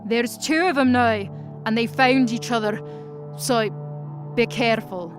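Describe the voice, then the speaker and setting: A woman voicing a scary, gruff warrior in an audio drama, blunt and threatening in every deliberate phrase. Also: a little high, loud music behind the voice, lightly acted. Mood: stern warning. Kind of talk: audio drama character acting.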